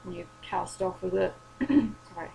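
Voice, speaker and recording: A young woman talks in a low, worn, tired voice. She rambles and swears casually, losing her voice a little. A steady hum runs under the home recording. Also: measured pace, creaky. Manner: rambling, sweary, self-deprecating. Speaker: vlogger. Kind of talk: casual late-night chat.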